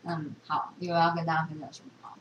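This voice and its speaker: Fast-talking Taiwanese woman in an echoey room. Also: deep voice, lo-fi sound. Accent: Taiwanese Mandarin. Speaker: podcast co-host. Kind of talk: casual chat about films and series. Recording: roomy, distant mic with steady noise.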